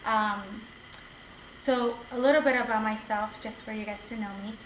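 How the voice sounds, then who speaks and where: A woman's voice: on the high side, steady tone, normal speed, slight background noise. A young woman from El Salvador, a student, telling her own story to a meeting in an earnest, conversational way with many ums and restarts. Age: young adult. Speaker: student speaker at a community meeting. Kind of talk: personal testimony to an audience. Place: campus meeting room. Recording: echoey room recorded from a distance.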